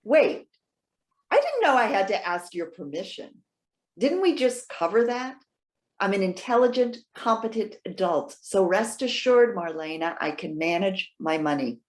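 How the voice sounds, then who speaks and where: A proud, independent old woman, played by an actress in a staged play reading. Her pitch swings widely as she talks, articulate and defensive, with a sarcastic edge. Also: big pitch swings, measured pace. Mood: indignant. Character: proud, independent elderly woman, indignant and sharp-witted. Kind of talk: readers theater stage acting.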